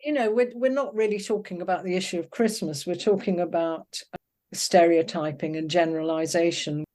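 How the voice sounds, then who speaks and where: An older woman talking reflectively in an interview, thoughtful and often pausing to search for her words. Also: on the low side, fairly even intonation, warm tone. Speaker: interviewed poet.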